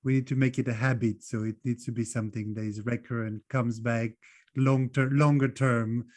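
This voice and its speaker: A man's voice: mid-range voice, steady tone, moderate pace, a little mellow. A man hosting a podcast, recapping his guest's points step by step. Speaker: podcast host. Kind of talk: podcast interview.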